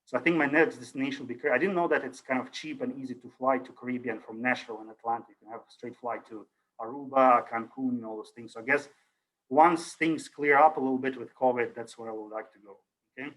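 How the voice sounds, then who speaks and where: A man's voice: medium pitch, steady tone, fast, fairly bright tone, poor audio. Chatty Ukrainian-born man lecturing over video call. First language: Russian. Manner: chatty and rambling, many fillers and restarts. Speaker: university lecturer.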